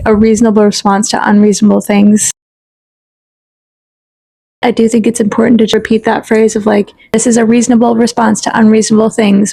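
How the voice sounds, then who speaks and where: A woman with a fairly high voice and a strongly creaky, fry-laden edge. She talks casually as a co-host in a supportive conversation. Her way of speaking is gentle, affirming and reassuring. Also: rough recording. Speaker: podcast co-host. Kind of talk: casual supportive conversation about self-care.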